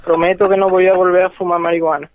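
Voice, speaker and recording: A man's voice: high voice, flat delivery, fast talker, no echo. A young man on a phone call, speaking hesitantly and repeating himself. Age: young adult. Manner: hesitant, repetitive. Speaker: prank call victim. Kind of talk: phone conversation.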